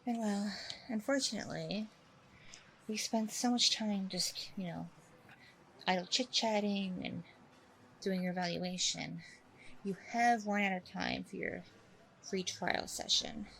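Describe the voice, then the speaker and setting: Woman acting a chatty, creaky-voiced self-assured coach. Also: mid-range voice, a little slow, long pauses, fairly bright tone, a little hiss or hum, rough recording. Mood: apologetic, chatty. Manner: rambling, hesitant asides, reassuring. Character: chatty, self-assured female alpha-male coach, rambling and upbeat. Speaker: dating coach character in audio roleplay. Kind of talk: ASMR roleplay, one-sided conversation with the listener.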